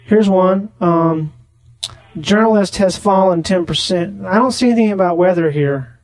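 A man making a deadpan prank phone call, rambling naively and slow to catch on. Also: high voice, dry recording. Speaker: prank caller on a comedy podcast.

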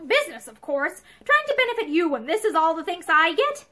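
A woman's voice: high voice, animated, fairly quick, bright, forceful tone. A woman voicing an irritable, condescending husband in a storybook, with a testy, domineering manner. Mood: impatient.

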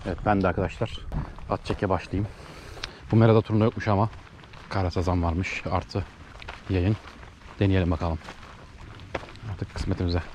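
A man's voice: deep voice, flat delivery, moderate pace, long pauses. A man hosting a casual outdoor vlog, chatting with companions and addressing his viewers as friends. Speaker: vlog host. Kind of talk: casual fishing vlog narration and chat. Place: outdoors on an open lake shore. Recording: loud outdoor noise on action camera.

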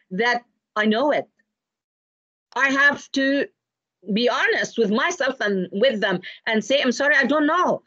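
A middle-aged woman from Egypt, giving an expressive, explanatory online lecture with anecdotes over a video call. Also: on the high side, dry recording. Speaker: lecturer presenting to teachers. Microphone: video call, computer microphone. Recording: dull, lo-fi video call audio.

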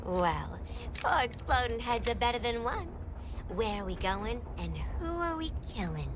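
A woman's voice: a little high, sing-song, measured pace, background noise. A woman playing a cheeky, wisecracking young villain with a flippant, sardonic manner in a video game. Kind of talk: video game cutscene acting. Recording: background music.

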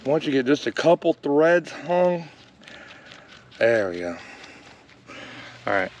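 A man's voice: medium pitch, a little hiss or hum. Easygoing man explaining cable rigging outdoors. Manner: relaxed, matter-of-fact, thinking aloud while working. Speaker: homesteader demonstrating a repair. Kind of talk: casual how-to narration. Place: outdoors in a leafy yard.

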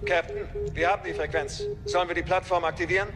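An actor playing a dutiful, businesslike soldier delivering crisp military reports in a radio drama. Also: male voice, sits high, fast talker, lightly acted. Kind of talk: German radio drama acting. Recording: long hall-like reverb.

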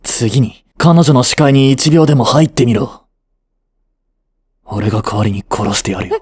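A man's voice: mid-range voice, animated, moderate pace, a little mellow, breathy edge, no echo, studio quality. A man acting an aloof, blunt but devoted young boyfriend in a romantic audio drama, curt and intimate. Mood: angry, threatening.

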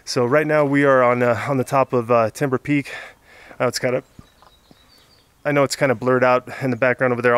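A man's voice: medium pitch, a bit bright, smooth, no echo. Tired, chatty man on a mountain summit. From Southern California. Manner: chatty, tired and laughing, filler-heavy. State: exhausted after a hard climb. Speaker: hiking vlogger. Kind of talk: casual vlog talk to camera. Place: outdoors on a forested mountain summit. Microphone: handheld camera at arm's length.

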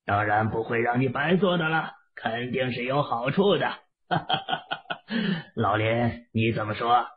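Male narrator as a hearty elderly commander, shrewd, medium pitch, slightly lo-fi audio novel. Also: animated, slow pace. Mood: coaxing. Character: hearty, crafty elderly male military leader.

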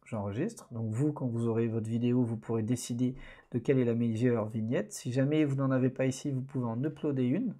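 Relaxed man explaining screens. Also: mid-range voice, fairly quick. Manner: relaxed, hesitant, many fillers. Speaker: online course instructor. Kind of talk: screen-recorded tutorial narration.

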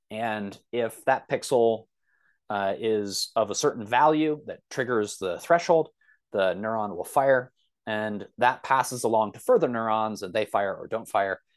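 A man with a fairly low voice speaks as a guest on a podcast. He explains in an informal, conversational way, often thinking aloud and feeling for the right word. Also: normal speed. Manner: thinks aloud, searching for words. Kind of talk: casual explanatory podcast talk.

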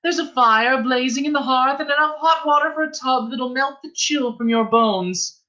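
A man's voice: very high-pitched, normal speed, bright, forceful tone, voice pushed up, low-quality recording. A man voicing a friendly, motherly old innkeeper's wife who talks in a chatty, coaxing, folksy way.

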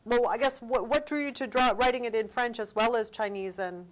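Middle-aged woman interviewer, higher-pitched. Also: low-quality recording. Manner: encouraging, quick backchannels. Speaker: interviewer host. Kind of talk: interview conversation. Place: TV studio, seated. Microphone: lapel.

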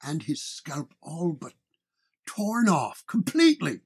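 Man playing a gruff, hot-tempered beefy city cop. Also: fairly high pitch, wildly swooping pitch, slow pace, a little mellow, pitched above the performer's own voice, heavily acted. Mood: grim. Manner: gruff, indignant, folksy turns of phrase. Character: florid, hot-tempered beefy Irish-American transit policeman.

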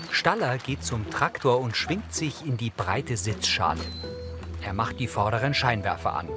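A man reading scripted audio description for television in a measured, matter-of-fact manner. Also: medium pitch. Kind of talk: scripted narration. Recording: studio voice over music.

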